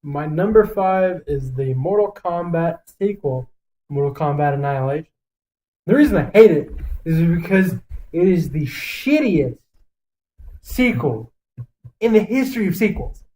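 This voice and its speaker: A man's voice: on the high side, expressive, a little slow, warm tone, rough recording. A man co-hosting a casual podcast, talking in a blunt, foul-mouthed and emphatic way as he gives his opinions. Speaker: podcast co-host. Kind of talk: casual podcast chat, ranking list.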